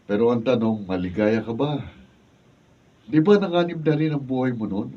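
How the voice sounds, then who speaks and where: A man's voice: mid-range voice, normal speed, lightly acted. An actor playing a concerned, old-fashioned male friend who pleads earnestly, in a Filipino radio drama. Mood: earnest, pleading. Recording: dull, distant room recording, faint noise.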